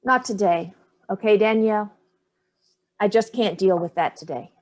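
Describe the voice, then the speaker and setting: A woman's voice: mid-range voice, normal speed, darkish tone, close to an everyday voice. An actress playing Sallie, a dry, cutting older mother fond of cocktails, in a staged play reading. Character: Sallie, sharp-tongued hard-drinking older mother.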